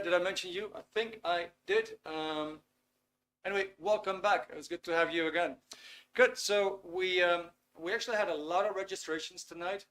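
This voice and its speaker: A Dutch man with a slightly raised voice, talking loosely and filling pauses with "um" and "uh". He speaks from a small studio, straight to camera. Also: on the high side, fairly bright tone, slight background noise. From Netherlands. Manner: chatty, filler-heavy, reads chat messages aloud. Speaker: webinar host. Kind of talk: live webinar lecture. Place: small warm studio under lights.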